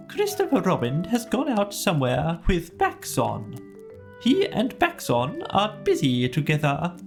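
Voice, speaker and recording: A woman puts on a pompous storybook owl who pretends to be learned. The delivery is slow and ponderous, with wide swoops of pitch and hesitant bluffing. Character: pompous owl posing as learned, easily flustered. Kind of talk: children's storybook character voice.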